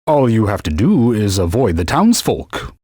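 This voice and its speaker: A man's voice: on the low side, big pitch swings, a little slow, mellow, husky, dry recording, clean studio sound, exaggerated voice. An actor playing a haughty male talking sword, a stern mentor who gives orders and scolds in old-fashioned knightly phrasing, for a video game. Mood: instructive. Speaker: game character.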